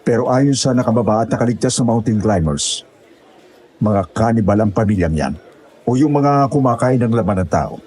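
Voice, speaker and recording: A man's voice: mid-range voice, lively intonation, moderate pace, mellow, breathy edge. A man playing a courteous, official police officer in an audio horror drama. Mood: serious, grave. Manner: courteous, formal. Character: polite, official male police officer taking a report.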